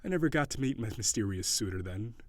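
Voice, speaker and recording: A man's voice: fairly low pitch, expressive, fast, no echo, lightly acted. A man voicing a haughty, weary prince turned gigantic sea monster, with formal, old-fashioned, prissy diction in an audio roleplay. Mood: guarded, softening.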